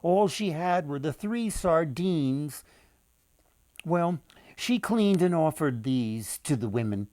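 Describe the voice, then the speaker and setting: An older man tells stories to children as their author. He speaks slowly and deliberately, with theatrical pauses, in a dry, close recording. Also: a little high, animated, a little mellow, dry recording. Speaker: author and storyteller. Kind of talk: storytelling read-aloud for children.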